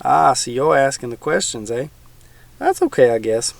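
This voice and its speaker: A man voicing a gruff, menacing old male swamp hermit with a folksy backwoods drawl. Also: mid-range voice, big pitch swings, normal speed, no echo.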